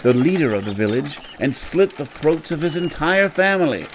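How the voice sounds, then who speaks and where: A man acting as a male tour guide in a horror audio drama. He tells grim local history in a measured, grave storyteller's way, over faint outdoor noise. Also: a little high, very animated. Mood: grave storytelling.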